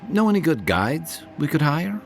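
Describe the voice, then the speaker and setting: An actor playing a jovial, warm-hearted rogue of an adventuring companion in a video game, teasing and easygoing. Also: male voice, on the high side, very animated, normal speed, gravelly, some chatter behind. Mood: playful. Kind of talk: conversational video game voice acting. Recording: voice over game music.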